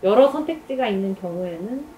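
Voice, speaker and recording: In a roomy, echoing space with clear background noise, a woman talks at a medium pitch. She is reflecting casually within a group discussion. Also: expressive, a little fast, warm tone. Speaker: project team member being interviewed. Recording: echoey room with background noise.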